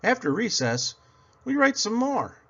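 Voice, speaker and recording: A man reading a children's picture book aloud as scripted narration, in an expressive storytelling manner. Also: extremely high pitch, measured pace, lo-fi sound.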